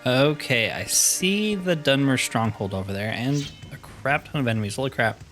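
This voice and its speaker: A man's voice: mid-range voice, lively intonation, moderate pace, a bit bright. A man talks casually as he plays a fantasy roleplaying game, commenting on things as they come. Music plays behind his voice. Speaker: host of a video game roleplay series.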